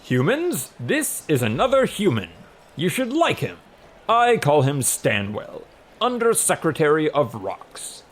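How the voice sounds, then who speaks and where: A man voicing a brash, towering male god of rocks in an audiobook, with a booming, pompous yet casual manner. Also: huge pitch swings, measured pace, exaggerated voice. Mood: proud.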